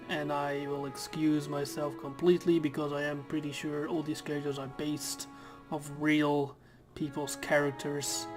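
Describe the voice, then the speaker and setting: A man whose first language is Dutch, chatting casually over a game he plays and reading its text aloud. He often stumbles and corrects himself. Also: fairly high pitch. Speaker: let's player.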